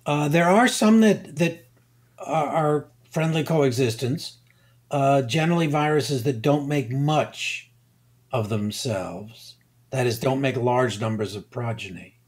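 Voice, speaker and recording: Slow, deliberate older man. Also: mid-range voice, darkish tone. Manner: deliberate, pausing, repeats words while thinking. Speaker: guest scientist. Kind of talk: unhurried expert explanation.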